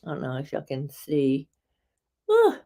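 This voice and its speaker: Casting: woman host, low and a touch raspy, chatty and rambling. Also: wildly swooping pitch, measured pace. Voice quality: slightly raspy. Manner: chatty, rambling, laughs often, warm asides to viewers. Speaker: host presenting beauty products. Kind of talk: casual live product demo.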